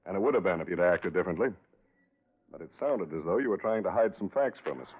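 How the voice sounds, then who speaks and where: A gruff American police captain with a deep voice and a quick, commanding delivery. He is curt and businesslike in a vintage radio crime drama, heard through thin, narrow-band audio. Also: expressive. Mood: questioning, firm. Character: gruff, brisk male state police captain.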